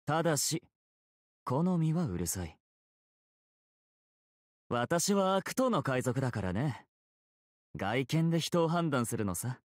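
Game pirate captain: courtly, teasing, theatrical, highly animated delivery, dry close sound. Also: male voice, takes it slowly, pauses often, no room sound. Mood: smug, elegant. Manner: polite, theatrical, self-assured. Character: suave, elegant, teasing gentleman pirate captain. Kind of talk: Japanese game character voice acting.

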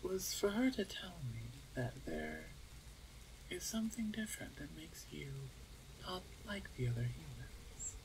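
A woman voicing a reserved, dominant orc huntress in an ASMR roleplay, soft-spoken and measured, often slipping into a whisper. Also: low voice, very animated, noisy background, exaggerated voice. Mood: curious, hesitant.

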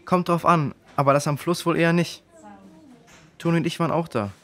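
A man playing a curious, excitable young boy, eager and plain-spoken, in a children's audio drama. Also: on the high side, fairly quick, close to an everyday voice.

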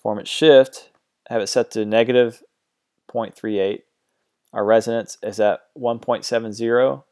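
A man talking through a software tutorial in a relaxed, hesitant way, with many ums and uhs as he describes settings. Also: on the low side, smooth. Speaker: music production tutor. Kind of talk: screen-recorded software tutorial.

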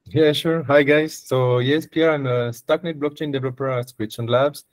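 A man's voice: mid-range voice, steady tone, normal speed, no echo. Man on a dry call. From France. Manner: brief, casual. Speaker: course trainer. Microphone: video call. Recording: dry close call audio.

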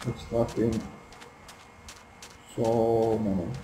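A young man with a Finnish accent, quietly and hesitantly commenting on his own game play, swearing often, recorded on a noisy laptop microphone at home. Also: fairly low pitch, level tone, drawn-out words, reverberant. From Finland. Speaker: gameplay video maker. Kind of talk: casual gameplay commentary. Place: home room. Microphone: built-in laptop mic.

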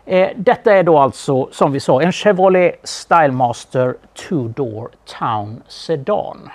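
A middle-aged Swedish man presenting a car in a relaxed, knowledgeable studio chat, with frequent fillers. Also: fairly high pitch. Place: small studio with a brick wall.

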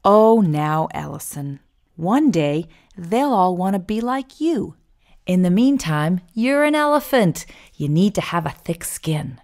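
A man voicing a gentle, reassuring mother elephant in a soothing, motherly, encouraging manner. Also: high voice, expressive, unhurried, pitched above the performer's own voice. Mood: comforting.

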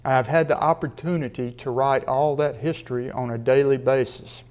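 A man in his sixties from Mississippi, a sports writer delivering a prepared acceptance speech in a measured way with a dry wit. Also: mid-range voice, steady tone, darkish tone, clear, resonant tone. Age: 60s. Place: studio with a sponsor backdrop.

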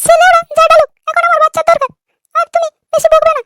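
A man voicing an insistent young wife in a comic cartoon, with a squeaky, artificially pitched-up voice. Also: little pitch movement, fast talker, pushed and bright, no echo, full character voice. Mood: scolding. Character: childless young wife, pleading yet bossy with her husband.

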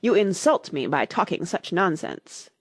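Storybook mouse, played by a woman: prickly, stern, quick to take offence, lively intonation. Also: on the low side, husky, no room sound. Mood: offended. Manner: sighing, indignant, sharp. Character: touchy, easily offended, severe talking mouse.

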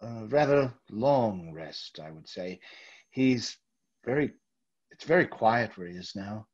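A man playing a husky, overpolite, guilty murderer. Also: fairly low pitch, expressive, exaggerated voice. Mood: smooth, evasive. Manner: halting, over-courteous, stammering. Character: nervous, overpolite murderer hiding his guilt. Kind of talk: old-time radio theater acting. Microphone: video call. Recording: dull, lo-fi video call audio.

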